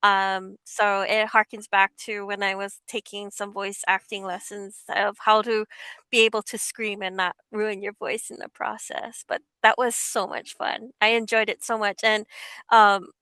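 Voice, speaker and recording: Woman podcast guest, really bright crisp voice, enthusiastic, chatty and emphatic. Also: medium pitch, little pitch movement, unhurried, no echo. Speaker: podcast guest, amateur voice performer. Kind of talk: enthusiastic conversational recollection.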